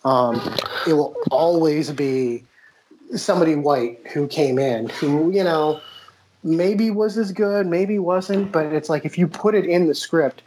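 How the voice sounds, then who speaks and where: A man's voice: fairly high pitch, a little slow, darkish tone, slight background noise, low-quality recording. A man from the United States, a podcast host and playwright, telling stories animatedly with many "you know" fillers. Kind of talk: casual interview talk.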